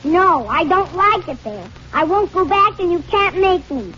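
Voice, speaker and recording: A woman's voice: very high-pitched, expressive, a little slow, bright, forceful tone, heavily acted. A woman playing a stubborn young orphan girl with blunt, childish slangy talk in a 1940s radio comedy. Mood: sullen, defiant. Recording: noisy, muffled 1945 radio recording.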